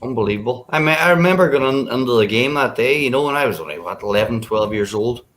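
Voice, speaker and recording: A man's voice: mid-range voice, lively intonation, fast, fairly bright tone. A man in his forties in a casual livestream chat, reminiscing unhurriedly with many fillers over a call mic. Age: 40s.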